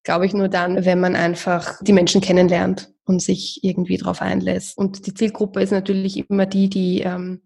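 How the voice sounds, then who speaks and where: A woman's voice: medium pitch, creaky, a little mellow, breathy edge. A woman who runs a charity association, answering interview questions hesitantly with many fillers and restarts.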